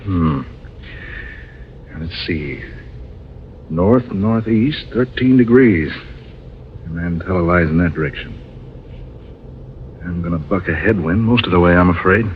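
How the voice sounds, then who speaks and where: An actor playing a grumbling, gruff desert pilot for hire in a 1940s style radio drama, often muttering to himself. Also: male voice, low-pitched, unhurried, pauses often. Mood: pondering, uneasy. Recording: scratchy, muffled old radio recording.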